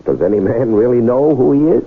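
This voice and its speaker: An actor playing a brazen, smooth-talking con man in a theatrical, teasing, talkative manner for a 1970s radio drama. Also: mid-range voice, lively intonation, a little fast. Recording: muffled old radio broadcast recording.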